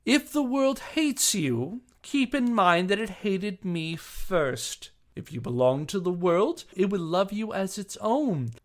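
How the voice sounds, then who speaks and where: A man's voice: sits high, expressive, slow, few pauses, pitched above the performer's own voice. A man playing an indignant, self-righteous attorney delivering a defiant speech in grandiose, preachy oratory, as a dramatic reading. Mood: solemn, preachy. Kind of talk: dramatic reading of a legal statement.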